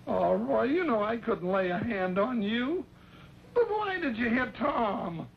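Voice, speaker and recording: An actor playing an excitable, folksy, soft-hearted comic sidekick in an old western, agitated and full of bluster. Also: male voice, very high-pitched, measured pace, vocal fry, heavily acted. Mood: remorseful. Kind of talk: old western film acting. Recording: muffled old film soundtrack, loud noise.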